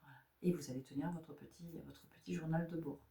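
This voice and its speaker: A woman's voice: deep voice, steady tone, fairly quick, mellow, distant mic. Woman doing a tarot guidance chat, lots of rhetorical questions and repeats, roomy echo. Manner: rhetorical questions, repeats phrases, checks in with "d'accord?". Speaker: tarot and spiritual guidance reader. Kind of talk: spiritual guidance talk. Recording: echoey room.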